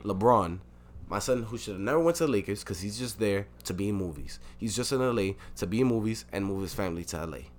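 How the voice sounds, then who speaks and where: A young man co-hosting a podcast, teasing and arguing loudly about sports in casual chat. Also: on the low side, fast talker, a little hiss or hum. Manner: teasing, argumentative, gets loud and excited arguing sports. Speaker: podcast co-host.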